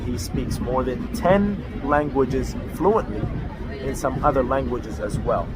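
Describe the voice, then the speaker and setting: A man's voice: medium pitch, a little slow, loud music behind the voice. A man hosting a travel video, chatting casually as he interviews and gives recommendations.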